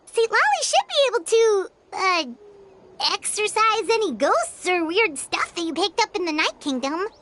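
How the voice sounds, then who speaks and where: A woman voicing a tiny, chatty childlike female companion in a game, who talks in an excitable, blunt way. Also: very high-pitched, moderate pace, slight background noise, exaggerated voice. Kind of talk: game voice acting.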